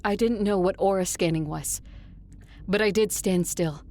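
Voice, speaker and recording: A woman's voice: medium pitch, moderate pace, mellow, close to an everyday voice. A woman playing an adult female conqueror who narrates her quest in a first-person audio drama. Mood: measured narration. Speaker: narrator and lead character.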